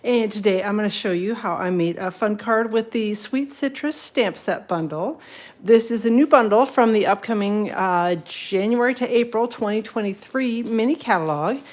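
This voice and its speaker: A chatty woman runs a crafting livestream, a little sniffly from a cold. She rambles between tips, greets viewers by name and laughs easily. Her voice sits at an ordinary middle pitch. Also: normal speed, darkish tone. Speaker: papercraft livestream host. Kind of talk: casual livestream demo.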